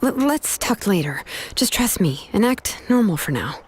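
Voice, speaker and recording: A woman voicing a discreet, steady young woman in a video game, casual and slightly halting. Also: mid-range voice, a little hiss or hum, lightly acted. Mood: covering up.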